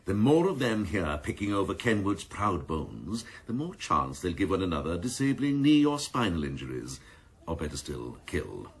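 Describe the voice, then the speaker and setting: An actor playing a cynical, scheming senior detective in a radio drama, talking with dry, sneering mock courtesy. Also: male voice, deep voice, sing-song, moderate pace, breathy edge, heavily acted. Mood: dry, sly. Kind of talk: 1990s radio drama acting.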